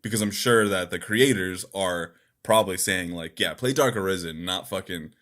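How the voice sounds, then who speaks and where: A man's voice: sits low, moderate pace, a bit bright. A man co-hosting a podcast in loose, rambling, informal chat, with some swearing. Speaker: podcast co-host. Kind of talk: casual gaming podcast chat.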